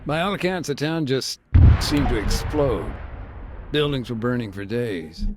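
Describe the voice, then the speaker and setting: An actor playing a weary male aid worker who recounts events in a measured, laconic way during an interview in a video game. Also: medium pitch, expressive, heavy background noise, close to an everyday voice. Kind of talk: game cutscene dialogue.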